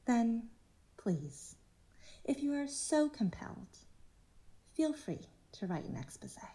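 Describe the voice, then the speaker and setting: A woman acting a manipulative cult leader in an audio drama, speaking in a measured, condescending, therapist-like way. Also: a little high, sing-song, mellow, full character voice, poor audio. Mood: smug, coaxing. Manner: measured, patronising, therapist-like phrasing.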